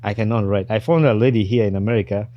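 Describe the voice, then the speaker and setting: A man hosts a relaxed podcast conversation, talking in a lowish voice. He tells stories with animation, and the close studio mic gives a dry sound with no room around it. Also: fast talker, dry recording, muffled recording. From Uganda. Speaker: podcast host. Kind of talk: casual interview chat.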